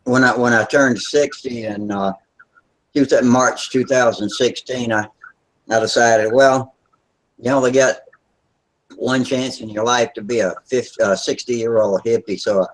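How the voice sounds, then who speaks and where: A man in his sixties chatting on a casual online video hangout, relaxed and a little rambling, over a computer mic. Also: medium pitch, measured pace, low-quality recording. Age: 60s.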